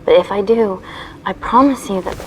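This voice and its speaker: A woman's voice: mid-range voice, moderate pace, slight background noise, natural voice, low-quality recording. A woman acting a conflicted, principled female scientist in a naturalistic interactive film drama. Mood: grave, reluctant.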